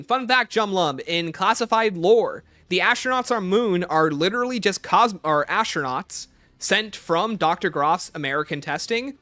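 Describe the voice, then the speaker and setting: Bright, higher-voiced man livestreaming. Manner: informal, playful banter with viewers. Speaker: livestream host. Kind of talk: casual livestream chat, answering viewer questions.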